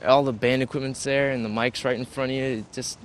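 A man in his twenties playing a nostalgic former rock band member in a mockumentary, talking haltingly outdoors. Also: mid-range voice, fairly even intonation, natural voice. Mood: wistful. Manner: halting, tags phrases with "yeah?". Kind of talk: mockumentary interview, reminiscing. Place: outdoors on a campus lawn.

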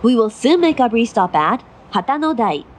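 A woman giving formal, measured railway announcements from a script. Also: a little high, very animated. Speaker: train announcer. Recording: noisy onboard train recording. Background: train running noise.

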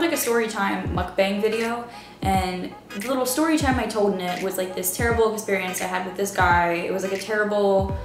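A woman from Maryland rambling casually to camera in a vlog, full of "like" fillers. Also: medium pitch. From Baltimore area, Maryland. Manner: rambling, filler-heavy "like" talk. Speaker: vlogger. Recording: roomy echo with music behind.

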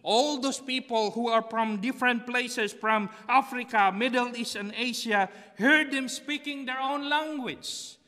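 A man's voice: very high-pitched, a little slow, few pauses, bright, forceful tone. A middle-aged man from the Philippines with a Filipino accent, preaching a sermon from a church pulpit and repeating key phrases. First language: Tagalog. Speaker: pastor. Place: empty church sanctuary behind a pulpit. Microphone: pulpit mic on a stand, far camera. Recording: long reverb of a church hall.

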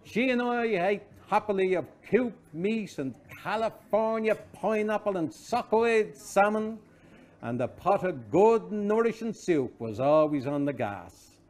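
A man in his fifties with a Dublin Irish accent reads a literary story aloud, expressively and wryly, with deliberate comic timing. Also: fairly high pitch, a little mellow. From Dublin, Ireland. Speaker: reader of a short story. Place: small wood-panelled pub snug, indoors.

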